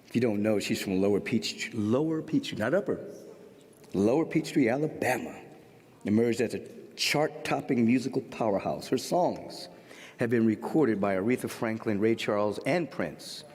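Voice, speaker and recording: Playful church host in a big hall. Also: male voice, on the low side, animated, normal speed, darkish tone. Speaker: minister hosting the service. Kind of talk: introducing a performer.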